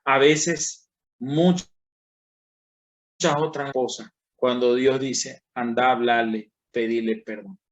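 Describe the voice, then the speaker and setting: A man preaches a Bible lesson at a slow, deliberate pace. He leans hard on key words and lifts them in pitch. He talks straight to his listeners with a voice of middle pitch. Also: fairly even intonation. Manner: slow and emphatic, rising on key words, addressing listeners directly. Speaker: pastor teaching a Bible class. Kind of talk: sermon-style Bible lesson.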